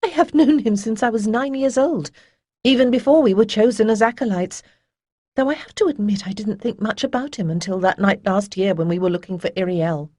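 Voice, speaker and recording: Woman doing calm young bride, soft but firm, higher voice, husky warm tone. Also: a little fast, dry recording, studio quality, lightly acted. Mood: gentle.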